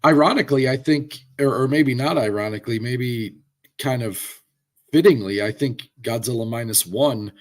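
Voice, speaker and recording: A man whose voice is hoarse and rough from a lingering cold. He co-hosts a relaxed podcast chat and rambles through his opinions, circling back with fillers and restarts. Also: mid-range voice, moderate pace. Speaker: podcast co-host. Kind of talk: casual chat.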